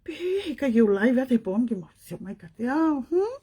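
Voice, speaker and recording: A Tongan woman with a Tongan accent, hosting a casual live stream and chatting to viewers, often slipping into a hushed, breathy half-whisper and tagging her points with questions. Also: on the high side, sing-song, quick pace. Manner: switches between languages, chatty, asks listeners tag questions.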